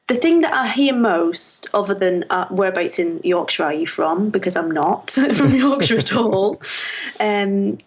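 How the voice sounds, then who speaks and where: A woman with a Lancashire accent, a knitting podcaster chatting as an interview guest, blunt and direct and quick to laugh. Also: mid-range voice, expressive. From Lancashire, England. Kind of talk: casual interview chat.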